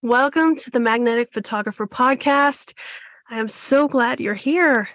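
A woman's voice: fairly high pitch, normal speed, fairly bright tone, no echo, clean studio sound. A woman in her forties hosting her own podcast as a photography coach, speaking in a bold, emphatic way with a slightly scratchy voice, often repeating phrases for effect. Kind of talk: solo podcast monologue.

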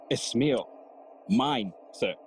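Slow, swooping, cheeky gravedigger. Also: male voice, medium pitch, gravelly, exaggerated voice. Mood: sly, teasing. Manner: teasing, sighing and chuckling, theatrical. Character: jolly, cheeky working-class gravedigger fond of riddles. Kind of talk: bilingual Spanish-English Shakespeare audio play acting.

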